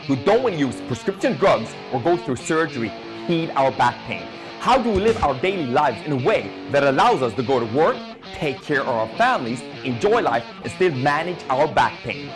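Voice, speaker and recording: A man reads a scripted podcast intro, asking questions and then answering them. His voice moves widely up and down in pitch. Clear guitar music plays behind him. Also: sing-song, moderate pace. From Sweden. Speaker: podcast host.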